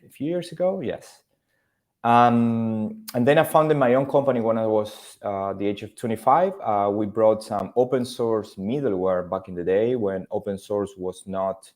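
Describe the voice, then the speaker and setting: A man's voice: on the low side, darkish tone. A man from Argentina, speaking as a podcast guest in a thoughtful, casual way with frequent fillers. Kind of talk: casual interview talk.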